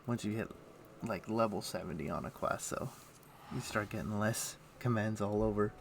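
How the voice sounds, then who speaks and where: A man's voice: fairly low pitch, normal speed, creaky, slight background noise. A man who streams games, chatting casually and thinking aloud in a rambling way as he plays. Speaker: game streamer. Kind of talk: casual livestream gameplay chat. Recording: loud game music behind voice. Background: video game music.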